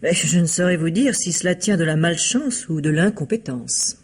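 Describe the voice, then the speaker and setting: A composed lady of good manners in a radio mystery, with a husky voice. She answers in measured, precise phrases, guarded yet candid. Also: on the low side, lively intonation, darkish tone. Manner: polite, measured, precise diction. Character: composed, well-bred bereaved fiancée, guarded and candid. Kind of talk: French radio mystery play acting.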